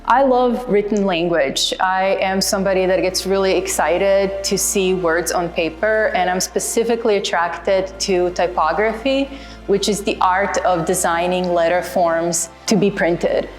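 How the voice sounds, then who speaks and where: A woman's voice: medium pitch, steady tone, unhurried, faint music behind. A woman from Croatia, an artist speaking thoughtfully and earnestly about her printmaking in a documentary interview. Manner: thoughtful, earnest, explains with care.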